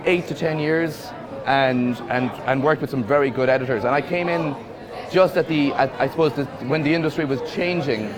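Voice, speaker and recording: A man's voice: fairly high pitch, moderate pace. An Irish man, a film director, talking thoughtfully in an interview and often repeating words as he searches for a phrase. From Ireland. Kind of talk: festival interview. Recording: busy crowd noise behind.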